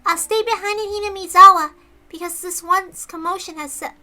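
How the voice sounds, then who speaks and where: A woman's voice: very high voice, fairly even intonation, fairly quick, bright, forceful tone, faint music behind, pitched above the performer's own voice, heavily acted. A woman voicing a cheerful, stubbornly resolute young girl with a sweet, childlike manner in a visual novel read-aloud. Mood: quietly resolute.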